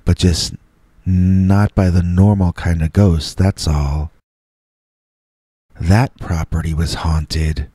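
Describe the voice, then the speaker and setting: A man narrating first-person scary stories from a script in a deliberate, measured way with dramatic pauses. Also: low-pitched, warm tone, breathy edge. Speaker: storyteller, host reading listener stories as a Bigfoot persona.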